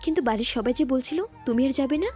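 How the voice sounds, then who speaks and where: Actress as higher-voiced, eager young girl. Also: fast talker, warm tone, close to an everyday voice. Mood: polite, curious. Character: eager, friendly, inquisitive young hostel girl. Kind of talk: Bengali audio story dialogue. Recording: music bed under the voice.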